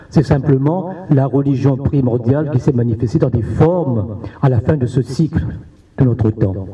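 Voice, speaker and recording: A man's voice: mid-range voice, mellow. A man from Réunion in a conference audience, asking a long, reflective question and repeating his rhetorical questions. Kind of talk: long earnest question from the floor. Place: conference hall. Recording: distant roomy hall sound, dull audio.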